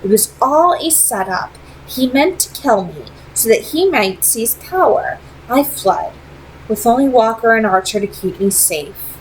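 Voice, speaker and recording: Lady doing a haughty vampire queen for a storybook, high voice, formal and secretive, persuasive, bit of noise behind. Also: animated, rough recording. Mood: bitter. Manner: formal, deliberate, dramatic phrasing. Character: proud, secretive female vampire clan leader, coolly persuasive.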